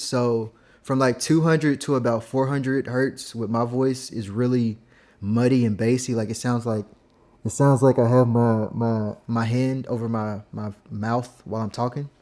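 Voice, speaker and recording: A young man casually explaining how he mixes his vocals, rambling and filling pauses with "like" and "um". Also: medium pitch, a little mellow. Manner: laid-back, rambling, heavy use of "like" and "um". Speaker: music producer teaching vocal mixing. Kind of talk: casual screen-recorded tutorial.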